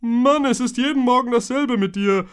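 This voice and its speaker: A man's voice: very high-pitched, normal speed, clear, resonant tone, voice pushed up. A male narrator voicing a complaining nine-year-old schoolboy. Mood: teasing.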